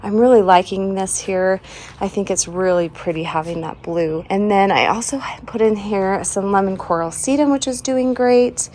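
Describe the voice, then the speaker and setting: A woman's voice: mid-range voice, normal speed, darkish tone. A young woman with a sleepy voice, still getting over a cold, chatting casually as she walks through her front yard garden and laughs often. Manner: chatty, laughs often, trails off mid-sentence. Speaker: home and garden vlogger.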